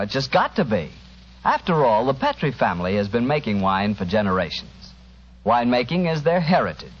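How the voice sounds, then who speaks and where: An American male radio host from the 1940s delivers scripted sponsor pitches and banter. His voice swings expressively and is chatty and salesmanlike, heard through a muffled old broadcast recording. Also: fairly bright tone.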